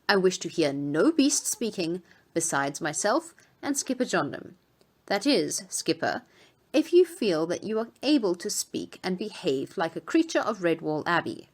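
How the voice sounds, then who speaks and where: A man's voice: high voice, pitched above the performer's own voice, full character voice. A man voicing a strict, commanding female abbess who speaks formally. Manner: authoritative, formal.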